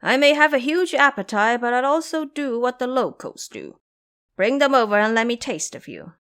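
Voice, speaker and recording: A gluttonous, simple pig monk from a fantasy audio drama, acted out by a woman. He is earnest and whiny, pleading and grumbling. The pitch moves around in a lively way. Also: normal speed. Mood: eager.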